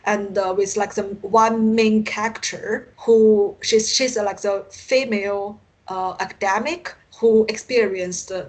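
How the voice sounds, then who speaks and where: A woman's voice: medium pitch, steady tone, measured pace, few pauses, a little mellow, rough recording. A woman with a Chinese accent, a native Mandarin speaker, talking casually as an interview guest and often searching for words. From China. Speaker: guest, science fiction writer.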